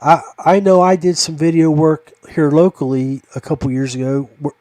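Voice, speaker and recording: An older man hosting a fishing podcast, talking casually in a halting, rambling way. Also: fairly high pitch, steady tone, darkish tone, no room sound.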